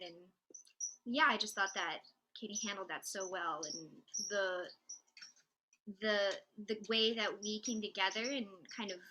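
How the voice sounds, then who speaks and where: A woman's voice: medium pitch, sharp tone, poor audio. A woman speaking as a dramaturg on a panel, in casual, reflective talk.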